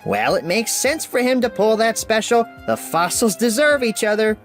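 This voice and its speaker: Man putting on a vain storybook engine, male, sneering, haughty, raised pitch, very animated. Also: moderate pace, soft background music. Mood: mocking. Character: snobbish, mocking male steam engine, vain.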